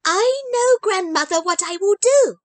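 A bubbly little girl's voice pitched way up high, gushing with eager delight as it leaps about in a lively sing-song, put on by a woman reading a storybook aloud. The sound is close and dry, with no room around it. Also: unhurried, no room sound, exaggerated voice. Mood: eager gleeful. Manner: bubbly, gleeful, breathless rush. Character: excitable eager little girl.

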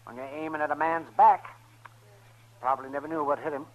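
An actor playing a brisk, matter-of-fact frontier town doctor in a 1950s radio western. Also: male voice, a little high, fairly even intonation, lightly acted. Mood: brisk, grim. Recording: muffled, noisy vintage radio recording.

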